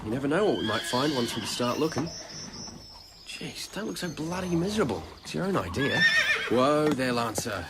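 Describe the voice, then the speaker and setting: An actor with an Australian accent playing a blunt young stablehand in a radio drama, talking in gruff bush slang. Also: male voice, mid-range voice, sing-song, normal speed. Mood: brisk, teasing. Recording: faintly noisy old radio recording.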